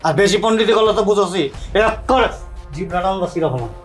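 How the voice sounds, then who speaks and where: An actor playing a cruel, threatening man of the household, with a gruff, menacing voice. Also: high voice, expressive, quick pace, faint music behind.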